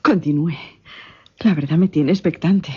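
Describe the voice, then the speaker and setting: Una actriz haciendo de señorita curiosa, voz oscura y velada. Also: female voice, deep voice, unhurried, a little mellow, husky, full character voice. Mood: expectant. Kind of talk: radio drama acting. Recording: muffled, lo-fi audio.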